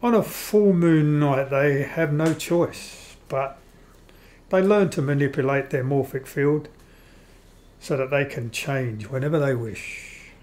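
A measured, polite son of vampires played by a man in a radio play. He speaks slowly in a slightly high male voice, as if explaining things with care. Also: darkish tone. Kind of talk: amateur radio play acting.

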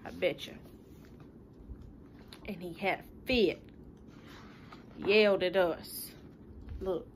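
A woman's voice: fairly low pitch, fairly even intonation, takes it slowly, long pauses, a little hiss or hum. A young woman, talking informally to pupils and parents in a folksy, plain-spoken way with rhetorical questions. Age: young adult. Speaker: schoolteacher. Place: living room at home.

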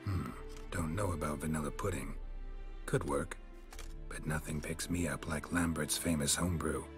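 An actor playing a gruff, laconic but protective monster hunter in a fantasy game, terse and dryly understated. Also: male voice, extremely low pitch, fairly even intonation, moderate pace, music in the background. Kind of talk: dramatic fantasy game acting.

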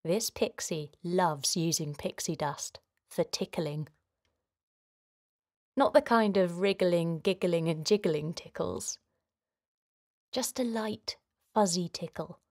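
Female children's meditation host. Gentle, simple delivery, very slow pace, lowish pitch. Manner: gentle, soothing, simple instructions with pauses. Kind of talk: guided relaxation for children.

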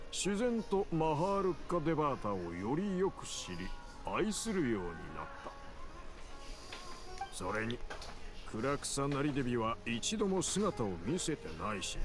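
An actor playing a worldly, nonchalant mercenary in a Japanese video game, casual and rough-spoken as he explains things. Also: mid-range voice. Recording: game soundtrack music behind voice.

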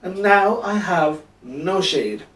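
A man as sulky storybook prophet, whiny groans, raised pitch, drawn-out words, echoey distant recording. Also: lively intonation, fairly bright tone, exaggerated voice. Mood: groaning, whiny. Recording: roomy, echoing, distant microphone.

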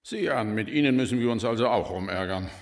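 An actor playing a gruff, sarcastic homicide detective with a curt manner in a radio crime drama. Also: male voice, mid-range voice, lively intonation, quick pace, lightly acted. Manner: curt, sardonic. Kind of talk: German radio play acting.

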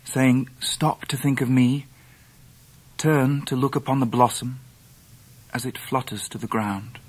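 Polite young english gent telling his story in a radio play, bit husky, gentle. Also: medium pitch, steady tone, unhurried, rough recording. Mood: wistful. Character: gentle, courteous, well-bred young gentleman narrator. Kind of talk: radio drama narration and dialogue.

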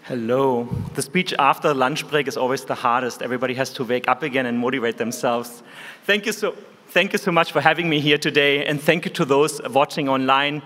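A man in his forties with a German accent, giving an earnest, measured keynote speech from a conference stage podium microphone. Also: mid-range voice, sharp tone. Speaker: keynote speaker. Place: large conference hall stage. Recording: hall reverb.

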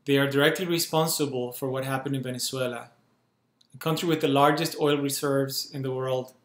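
A man's voice: mid-range voice, steady tone. Earnest man in an echoey room. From Cuba. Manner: earnest and urgent, measured phrasing. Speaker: activist making an appeal. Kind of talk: earnest direct-to-camera appeal. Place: small room at home, indoors. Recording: roomy echo from a bare room.

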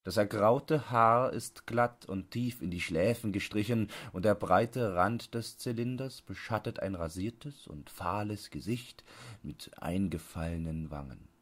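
A man with a low-pitched voice narrates an audiobook. He reads scripted literary prose in a measured manner, enunciating each phrase with care.

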